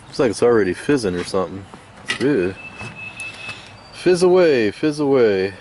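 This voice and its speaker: A man giving a casual hands-on restoration demonstration, musing aloud in an unhurried, hesitant way as he works. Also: medium pitch, big pitch swings, a little mellow, a little hiss or hum. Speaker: radio restoration hobbyist.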